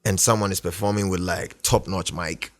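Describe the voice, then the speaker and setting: A man's voice: deep voice, steady tone, moderate pace, no echo. A young man from Ghana, a musician guest, talking in a laid-back, rambling way and often asking "do you know what I mean". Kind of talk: casual interview chat.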